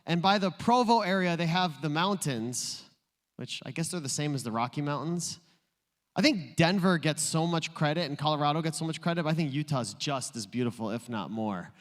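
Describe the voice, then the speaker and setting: A male pastor preaching a sermon in a playful, joking storytelling way. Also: fairly high pitch. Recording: long reverb in a large room.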